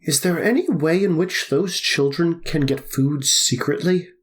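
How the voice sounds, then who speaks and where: A man playing a puzzled country doctor who speaks in a measured, probing way. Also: a little high, natural voice. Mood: amused. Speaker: Doctor Craven.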